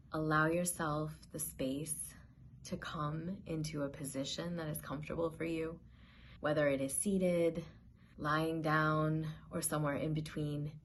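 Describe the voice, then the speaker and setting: A woman hosting a guided meditation, giving gentle, soothing, unhurried instructions as she introduces the session. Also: deep voice, a little hiss or hum.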